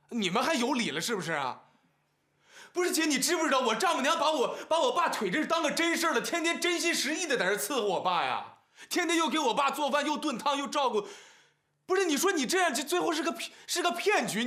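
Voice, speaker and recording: A man's voice: very high voice, very animated, fairly quick, a bit bright, exaggerated voice. An actor playing an exasperated, long-suffering younger brother in his thirties in a TV family drama, pleading in rhetorical questions. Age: 30s. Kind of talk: naturalistic TV drama acting. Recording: background music under dialogue.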